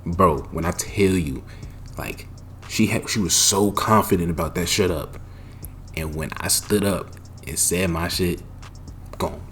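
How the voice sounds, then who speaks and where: A young man casually telling a personal story, animated and profane, with loud bursts and lots of slang. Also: low-pitched, measured pace, long pauses, a little hiss or hum. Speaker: storytime vlogger.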